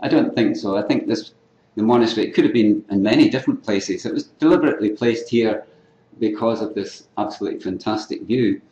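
An older Scottish man giving an unscripted academic lecture at a conference, often clearing his throat and restarting phrases. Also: fairly low pitch, brisk, warm tone, muffled recording. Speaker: keynote lecturer in archaeology. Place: lecture hall. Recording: echoey lecture hall.

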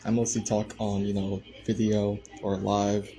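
A man in his twenties chatting casually on a live stream, soft-spoken and hesitant, with many fillers. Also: on the low side, completely flat delivery, a little slow. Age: 20s. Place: indoor room by a window.

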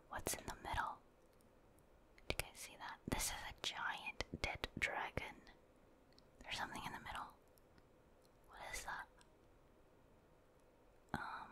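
A woman, a native Tagalog speaker, talks in a breathy whisper at a slow, unhurried pace. She comments on a video game in ASMR style, thinking out loud to viewers and often repeating little phrases. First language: Filipino (Tagalog). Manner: gentle repetition of short phrases, musing aloud to viewers. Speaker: ASMR gaming video creator.

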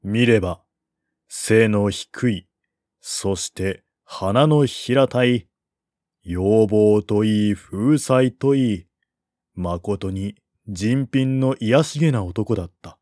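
A man narrating a historical novel as an audiobook, with a measured, expressive literary delivery. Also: fairly low pitch, no room sound, clean studio sound.

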